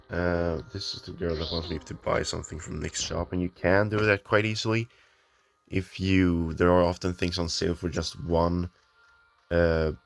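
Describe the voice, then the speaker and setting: Flat, very deep-voiced man musing over gameplay. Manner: hesitant, many ums and restarts, thinking aloud. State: voice a bit off, early morning. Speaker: gamer. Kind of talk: casual gameplay commentary. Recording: noisy home recording with clicks.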